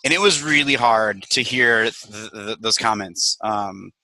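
A man's voice: on the low side, measured pace, bright, forceful tone, no echo, poor audio. A man from Wisconsin who owns a brewery, talking candidly and energetically on a video call, swearing now and then. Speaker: brewery owner. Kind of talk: casual video call chat.

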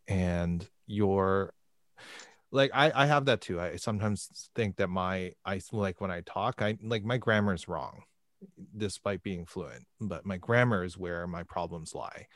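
A man's voice: low voice, measured pace, no echo, clean studio sound. A man from Canada interviewing a guest, encouraging her and asking follow-up questions. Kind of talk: casual conversational interview.

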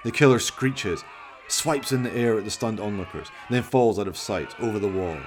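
A man narrates a radio play in a fairly low voice. It is scripted, dramatic storytelling. Faint noise runs behind him. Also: normal speed, crowd noise.

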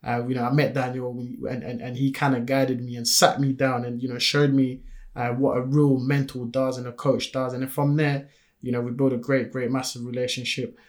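A man who grew up in France, a native French speaker, talks casually on a podcast he co-hosts. He is eager and chatty at a middle pitch, with plenty of fillers running through his talk. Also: level tone, normal speed. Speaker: podcast co-host.

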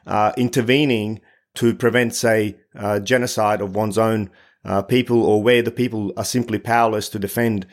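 A man's voice: lowish voice, steady tone, normal speed. A man hosting a podcast interview, framing his questions hesitantly with many filler sounds and restarts. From Bosnia. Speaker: podcast host.

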